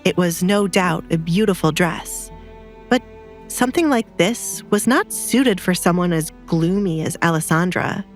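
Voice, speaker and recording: A woman's voice: fairly low pitch, unhurried, faint music behind. A woman giving scripted, dramatic third-person narration of a story.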